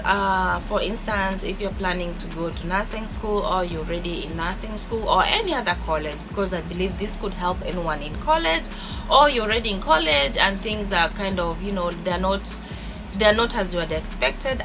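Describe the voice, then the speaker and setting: A young woman with a Kenyan accent chats warmly and upbeat straight to camera, often repeating words as she goes. Her voice sits at an ordinary middle pitch for a woman. Background music plays clearly behind her the whole time. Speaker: vlogger. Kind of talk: casual vlog talk to camera.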